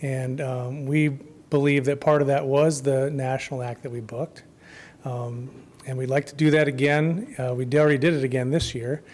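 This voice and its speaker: Man, hoarse, losing his voice, festival organizer, public comment at a council meeting, informal, trails off. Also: mid-range voice, fairly even intonation, moderate pace. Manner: informal, many ums, trails off quietly at phrase ends. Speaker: festival co-chair speaking to city commission. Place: council chamber.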